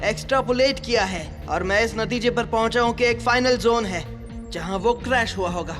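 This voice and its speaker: A man's voice: very high-pitched, normal speed, over music. A man playing a precise, formal robot assistant in a Hindi-dubbed cartoon. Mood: matter-of-fact. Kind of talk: animated action cartoon dub in Hindi.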